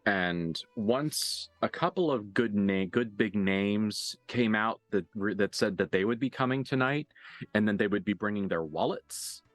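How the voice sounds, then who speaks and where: A man running a tabletop role-playing game as game master, improvising narration and dialogue in a casual, halting, sweary way. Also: on the low side, dry recording, faint music behind.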